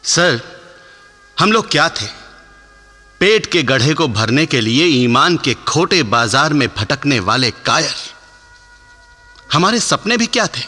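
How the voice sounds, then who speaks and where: A defiant young patriotic soldier in a radio drama, declaiming in a forceful, fairly high voice. His delivery is slow and impassioned, ringing in an echoing hall with music behind. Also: very animated, heavily acted. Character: defiant, proud young patriotic Indian soldier, aged thirty-one.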